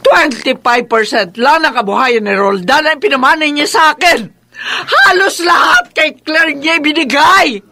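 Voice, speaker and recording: An actress playing a fiery, grasping mother and stepmother in a Filipino radio drama, sharp and melodramatic. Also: high-pitched, normal speed. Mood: outraged.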